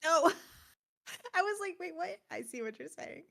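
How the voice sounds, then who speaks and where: A woman's voice: extremely high pitch, expressive, unhurried, vocal fry, a bit bright. A woman co-hosting a games show, moving between casual chat and scripted review narration. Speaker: show co-host and game reviewer.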